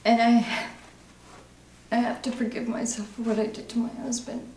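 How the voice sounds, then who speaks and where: A woman performing a dramatic monologue as a guilt-ridden recovering alcoholic mother, halting, sombre and confessional. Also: fairly high pitch, little pitch movement, a little mellow, pitched above the performer's own voice, close to an everyday voice. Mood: anguished, remorseful. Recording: roomy echo, faint noise.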